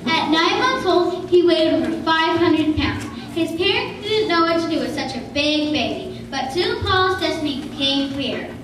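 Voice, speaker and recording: A very high-pitched child, about eight or nine, recites a tale as a pupil. It sounds distant in a very echoey room. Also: moderate pace, fairly bright tone, slight background noise. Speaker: third-grade pupil reciting. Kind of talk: scripted storytelling in a class performance.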